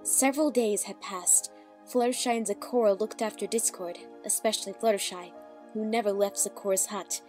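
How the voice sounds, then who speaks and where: A woman's voice: mid-range voice, steady tone, moderate pace, a little mellow, lightly acted. Woman as a wistful storyteller over music. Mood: subdued. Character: solemn, wistful pony heroine recounting her friends' lives. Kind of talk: scripted story narration.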